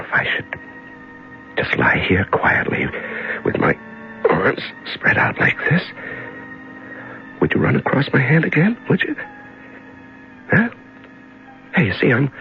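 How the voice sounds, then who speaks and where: Give me a scared captive in a dark cell, fragile and whispery, on a muffled old radio recording. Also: male voice, low voice, gravelly, heavily acted. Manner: shifts from panic to hushed, fragile self-calming. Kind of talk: 1950s radio drama monologue. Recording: muffled old radio broadcast with faint music.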